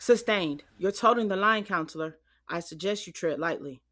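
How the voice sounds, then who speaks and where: A woman's voice: on the low side, moderate pace, a bit bright. A woman playing a stern judge presiding over court in an audio drama, formal and authoritative.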